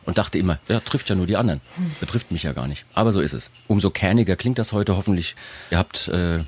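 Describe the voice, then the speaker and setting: A man hosting a podcast over a video call, chatty and self-mocking, his voice hoarse from a cold. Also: low-pitched, fast talker, a little hiss or hum. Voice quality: husky. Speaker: podcast host. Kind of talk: casual chat.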